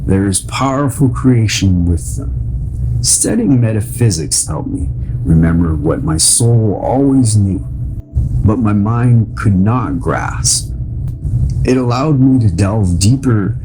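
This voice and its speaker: A man narrates an audiobook in a fairly low voice, reading reflective memoir prose and verse from a script. His intonation moves around a good deal, rising and falling through the phrases. Music plays clearly behind him. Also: lively intonation, slow pace, rough recording. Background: background music.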